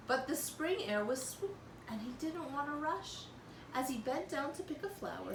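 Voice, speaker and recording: A woman reading a storybook aloud to young children in an expressive, teacherly way, pausing to explain and ask questions. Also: high voice, far from the mic. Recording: roomy echo, clear background noise.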